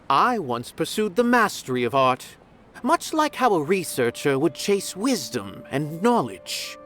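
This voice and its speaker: An actor voicing a pompous, theatrical art critic in a video game, speaking in grand, florid phrases. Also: male voice, fairly high pitch, fairly bright tone, a little hiss or hum. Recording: game soundtrack music behind.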